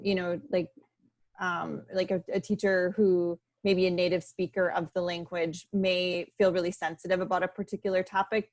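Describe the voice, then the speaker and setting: A fairly low-voiced woman teacher speaks earnestly on a video call panel, filling her pauses with um and you know. Her voice sounds dry and close, with no room echo. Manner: earnest, with frequent ums and you-knows. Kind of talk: webinar panel discussion.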